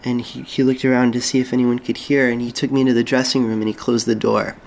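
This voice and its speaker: A man's voice: medium pitch, level tone, gravelly, slight background noise, natural voice, rough recording. Man playing a hesitant, wistful young janitor. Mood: wistful, confiding. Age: young adult. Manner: hesitant, halting, hushed confiding storytelling. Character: lonely, dreamy young male janitor, tender and wistful. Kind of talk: intimate audio drama monologue.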